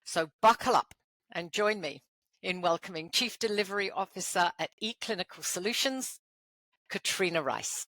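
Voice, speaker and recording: Brooklyn woman host, chatty and playful, bright voice on a dry close mic. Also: mid-range voice, moderate pace, dry recording, low-quality recording. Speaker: podcast host. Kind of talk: interview conversation.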